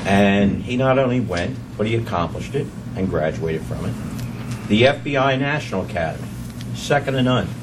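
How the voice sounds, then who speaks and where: A man speaking at a council meeting in formal remarks, halting with many fillers as he builds his case. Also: on the low side, lively intonation. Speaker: council member. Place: council chamber. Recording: distant mic, noisy room hum.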